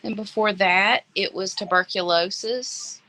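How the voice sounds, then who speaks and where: A woman co-host musing aloud, halting and full of ums as she hunts for words. Her voice sits at a middling pitch. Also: sharp tone. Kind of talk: casual chat.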